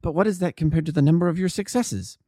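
A man reading the part of an anxious, refined young gentleman in his early twenties, earnest and polite, in an audiobook. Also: fairly high pitch, quick pace, dry recording, clean studio sound, voice pushed up, natural voice. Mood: earnest, pleading. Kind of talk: audiobook character dialogue.

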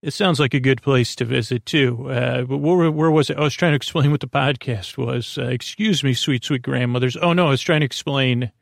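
A man with a creaky voice hosting a bedtime podcast, meandering gently and hesitantly, often interrupting himself in a soothing way. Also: medium pitch, a little fast, few pauses, dry recording, clean studio sound. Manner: soothing, gentle, soft-spoken. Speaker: podcast host. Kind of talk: rambling bedtime podcast monologue.

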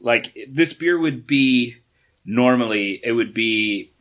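Male podcast guest, mid pitch, halting and hesitant. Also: lively intonation, a bit bright. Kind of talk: casual podcast chat.

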